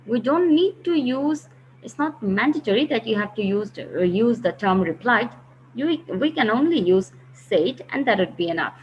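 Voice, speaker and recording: A woman teaching a grammar lesson, patiently explaining step by step and slowing down to read example sentences. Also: a little high, expressive, darkish tone, dull audio, a little hiss or hum. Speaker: English teacher.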